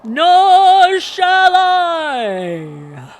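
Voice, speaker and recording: A woman voicing a boy who plays a menacing ghostly prophet in a story, guttural, drawn-out and declamatory. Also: extremely high pitch, huge pitch swings, pitched above the performer's own voice, heavily acted. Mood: ominous. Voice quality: guttural, horrific.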